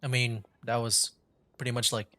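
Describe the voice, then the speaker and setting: A man's voice: medium pitch, flat delivery, normal speed, sharp tone, a little hiss or hum. A man giving casual, rambling commentary as he streams a video game, talking conversationally.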